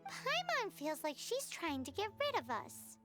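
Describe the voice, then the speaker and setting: A woman voicing a tiny, cheeky, childlike fairy companion in an anime-style video game, with a squeaky voice and blunt manner. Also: wildly swooping pitch, measured pace, loud music behind the voice, full character voice. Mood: suspicious, cheeky. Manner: refers to self in third person, blunt. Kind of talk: English anime game voice acting.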